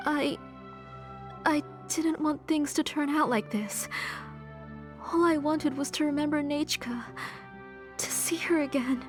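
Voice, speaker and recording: An actress playing a grieving, bitter mother in a video game drama, speaking in a halting, anguished way. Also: high voice, faint music behind. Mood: regretful.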